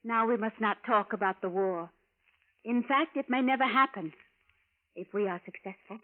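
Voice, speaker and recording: A woman playing an earnest traveler from the future in a vintage radio play. Her voice is on the high side and her phrasing formal and precise. It sounds thin and tinny, like an old broadcast. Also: moderate pace, close to an everyday voice. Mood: guarded. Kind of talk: 1940s-50s radio drama acting.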